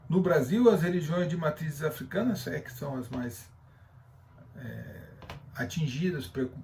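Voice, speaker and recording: A man with a Brazilian accent giving an explanatory class, speaking haltingly as he searches for words and reads loosely from notes. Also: fairly high pitch, creaky, darkish tone. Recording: distant from the microphone.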